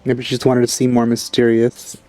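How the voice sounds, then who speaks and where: Mellow, offhand let's player. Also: mid-range voice, completely flat delivery, fairly quick. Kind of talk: casual gameplay commentary. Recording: game music behind the voice. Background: video game music.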